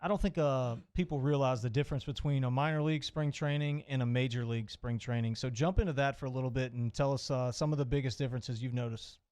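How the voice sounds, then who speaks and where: A man's voice: mid-range voice, fairly even intonation, fast, few pauses, a little mellow, clean tone. A male sports show co-host in casual chat, blunt and wisecracking.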